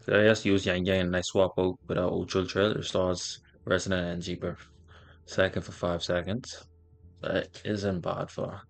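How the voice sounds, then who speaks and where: Guy doing a game walkthrough, deep crackly voice, stumbles reading stuff out, faint music behind. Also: flat delivery, vocal fry. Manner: halting, stumbles while reading aloud. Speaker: gaming streamer. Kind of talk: casual game walkthrough, reading on-screen text aloud.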